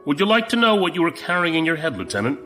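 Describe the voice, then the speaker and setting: Guy acting fatherly old-hand space admiral, grave n measured, bright forceful voice, bit of music under. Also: fairly high pitch, very animated. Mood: apologetic, measured. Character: seasoned, fatherly male space-empire admiral, grave and conspiratorial. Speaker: voice actor in audio drama. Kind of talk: audio drama dialogue.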